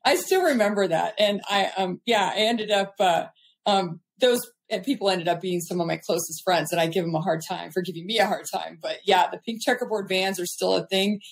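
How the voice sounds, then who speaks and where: A middle-aged woman from California talks as an interview guest, upbeat and chatty, with plenty of small fillers. Her voice sits at an ordinary middle pitch. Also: a little fast. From California, USA. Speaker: guest entrepreneur. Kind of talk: casual interview storytelling.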